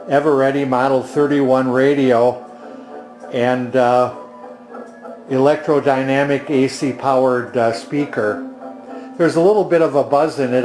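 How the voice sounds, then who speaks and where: A man's voice: mid-range voice, a little mellow. A man giving a casual, unscripted demonstration in a plainspoken, hesitant manner. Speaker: vintage radio restorer and demonstrator. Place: indoors at home. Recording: roomy home recording with music behind.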